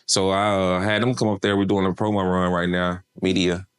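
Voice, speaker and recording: A man's voice: sits low, flat delivery, a little fast, clear, resonant tone. A man from Memphis, an artist manager chatting casually in an interview, laid-back and slangy with lots of fillers.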